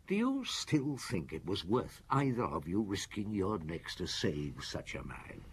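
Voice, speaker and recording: An actor playing a weary, relentless middle-aged chief inspector in a radio detective drama, speaking formally and deliberately. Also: male voice, sits low, sing-song, husky, full character voice. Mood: probing, accusing.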